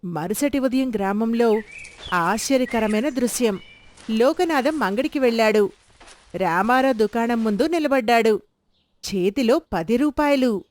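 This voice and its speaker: A woman's voice: a little high, animated, a little slow, slight background noise. Woman narrating a storybook tale.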